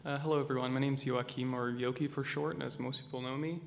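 A man's voice: mid-range voice, fairly even intonation, fast, creaky. A young man from Calgary, a student panelist, speaking hesitantly and thoughtfully with many "um"s, in a reverberant hall. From Calgary, Alberta. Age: young adult. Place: university lecture hall. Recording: reverberant hall, faint noise.

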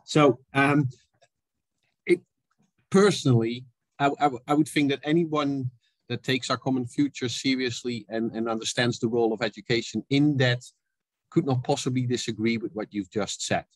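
A man's voice: mid-range voice, no echo. A middle-aged man from the Netherlands with a Dutch accent, speaking directly in an online seminar. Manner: direct, measured, hesitant fillers. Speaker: seminar referent. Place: home room.

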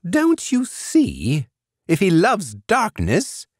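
A man voicing a lovesick male sleep demon, wailing and quavering in a high voice. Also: huge pitch swings, slow, slightly rough, dry recording, clean studio sound, full character voice. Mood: pleading. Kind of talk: audiobook character voice.

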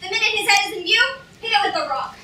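A woman's voice: extremely high pitch, lively intonation, racing. A woman playing an arrogant, boastful male schemer who thinks himself a genius, in an amateur staged comedy reading. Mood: bossy. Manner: imperious, boastful. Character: small arrogant villainous male schemer, self-proclaimed genius. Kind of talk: amateur staged reading of a comic fantasy play. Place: on stage in a hall, audience camera. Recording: roomy distant stage recording, faint noise.

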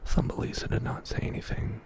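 A middle-aged man reading a bedtime story as ASMR in a soft, breathy whisper, hushed and soothing, close to the microphone in a small indoor studio. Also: background noise. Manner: slow, hushed, soothing narration.

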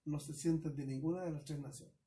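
A Chilean man with a slightly high voice hosts a relaxed radio conversation. He tells stories at length and keeps affirming his guests with quick agreements. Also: slight background noise. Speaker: radio host.

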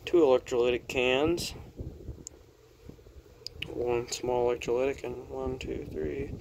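A man's voice: mid-range voice, steady tone, takes it slowly, darkish tone, rough recording. Offhand man narrating over workshop noise. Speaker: hobbyist electronics repairer showing equipment. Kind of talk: casual unscripted show-and-tell narration. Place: small cluttered indoor workshop at a bench. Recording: handheld camera audio with clear background noise.

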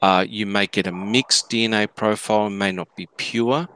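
A man answering questions in a live discussion, explaining deliberately and emphatically, point by point. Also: on the low side, steady tone, fairly bright tone, clean tone. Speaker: panelist answering listener questions.

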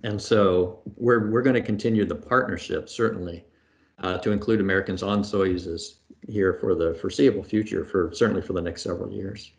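Middle-aged guy on a webcam call from his home study, fairly low voice, lots of ums and restarts. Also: fairly even intonation, brisk, vocal fry. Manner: thoughtful, many fillers and restarts. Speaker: astronaut guest. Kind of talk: conversational Q&A answers. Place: home room with bookshelves. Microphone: video call webcam.

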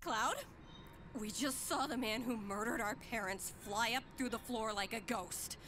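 A woman's voice: fairly high pitch, unhurried, pushed and bright, slight background noise. A woman voicing a brash, sarcastic, short-tempered young female fighter in a comedic video game parody. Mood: weary. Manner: sarcastic, blunt, emphatic.

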